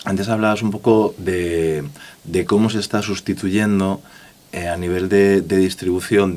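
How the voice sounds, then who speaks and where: A man interviewing a guest in a conversational way, probing and rephrasing his questions as he goes. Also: sits low. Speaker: podcast interviewer.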